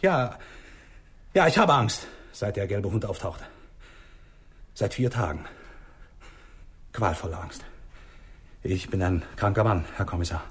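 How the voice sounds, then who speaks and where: An actor playing a frightened, sickly doctor who halts and repeats himself, in a 1960s German radio drama. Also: male voice, on the low side, animated, breathy edge, full character voice. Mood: anxious confiding. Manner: halting, repeating words. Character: frightened, sickly bourgeois doctor, self-pitying and indignant.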